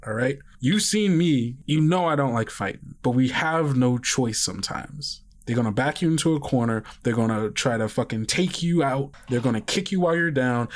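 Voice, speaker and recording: A man voicing a gruff, protective, streetwise father who swears freely and goads in slang in a tabletop roleplay. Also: mid-range voice, close to an everyday voice. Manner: profane, slangy, goading, emphatic.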